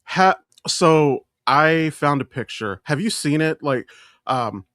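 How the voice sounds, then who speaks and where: A man's voice: fairly high pitch, animated, takes it slowly, a bit bright, no room sound, studio quality. A man co-hosting a podcast, talking casually and opinionatedly in a blunt, profane way. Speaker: podcast co-host.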